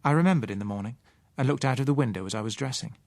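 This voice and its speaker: A man reading a first-person novel as an audiobook narrator, measured and articulate. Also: mid-range voice, creaky, darkish tone, no echo.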